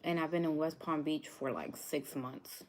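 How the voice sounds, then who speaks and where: A woman's voice: low-pitched, steady tone, moderate pace, a little mellow. A woman from New York hosting a live cooking stream, chatting casually with viewers and laughing often. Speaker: cooking show host.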